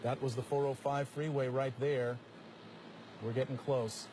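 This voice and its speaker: A man's voice: mid-range voice, level tone, measured pace. An American man anchoring live breaking news, commenting on events as they unfold. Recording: clearly noisy live feed, vehicle drone.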